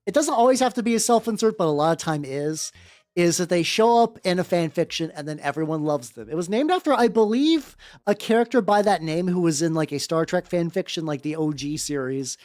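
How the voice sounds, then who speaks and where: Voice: medium pitch, expressive, a little fast, darkish tone, dry recording. A livestream host chatting casually in a rambling, slangy, sweary way that often repeats phrases. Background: background music.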